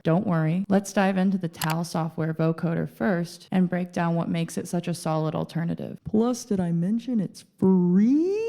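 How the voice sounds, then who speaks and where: A woman's voice: fairly low pitch, gravelly, mellow. A woman hosting a casual tutorial, talking in an informal, chatty way with playful asides. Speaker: video host and music gear reviewer.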